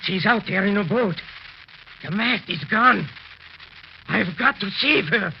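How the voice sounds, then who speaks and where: An actor playing a frantic, haunted husband in 1940s radio drama style, on a muffled old recording. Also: male voice, sits high, measured pace, gravelly, slight background noise.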